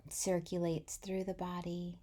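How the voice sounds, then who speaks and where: A woman leads a guided meditation at a very slow pace. Her voice is slightly low and mellow, soft and breathy at the ends of phrases. She speaks gently and soothingly, leaving long pauses. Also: completely flat delivery. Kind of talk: guided body-scan meditation.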